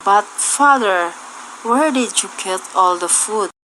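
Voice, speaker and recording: A woman playing an inquisitive adopted daughter in an amateur audio drama, with a questioning, deliberate delivery. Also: medium pitch, lively intonation, sharp tone, slight background noise, rough recording.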